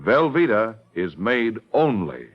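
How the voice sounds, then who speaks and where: Lively male vintage radio announcer, muffled sound. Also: on the low side, takes it slowly. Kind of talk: 1950s radio announcing and sponsor pitch.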